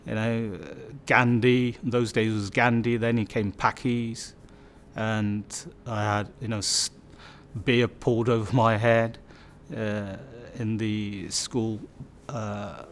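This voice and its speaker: A man in his fifties, from Bangladesh, telling his life story in a reflective way, with many fillers and restarts. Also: medium pitch. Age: 50s. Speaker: community storyteller. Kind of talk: personal life story interview.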